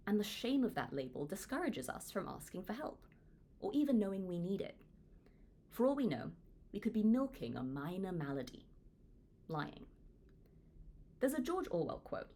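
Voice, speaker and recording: Lively low-voiced woman author. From Hong Kong. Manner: earnest, lively reading with wide pitch swings. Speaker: author reading her own book. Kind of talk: audiobook read-along narration.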